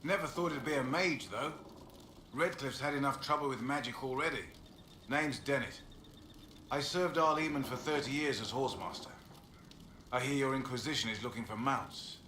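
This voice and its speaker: An actor playing a gruff older horsemaster in a fantasy game, blunt, plain-spoken and folksy. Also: male voice, medium pitch, moderate pace, bright, forceful tone, a little hiss or hum, lightly acted. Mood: gruff, skeptical. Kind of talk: video game dialogue acting. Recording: echoey, with music behind.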